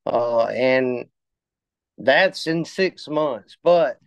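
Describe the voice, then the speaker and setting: A man of fifty telling his story in a casual interview, halting with many fillers and restarts. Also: medium pitch, gravelly, clean tone, no room sound. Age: 50s. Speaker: interview guest.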